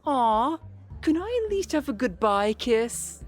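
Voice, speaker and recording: A guileless, sunny host in an audio drama, played by a woman. She speaks slowly in a higher, very lively voice. Music plays behind her. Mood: sweet. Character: cheerful, guileless, slightly naive host. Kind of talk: animated audio drama acting.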